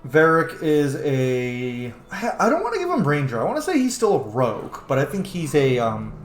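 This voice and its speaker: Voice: mid-range voice, wildly swooping pitch, moderate pace, soft background music. A video game streamer giving casual let's play commentary, playful and sweary, often thinking aloud. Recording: echoey room with faint noise.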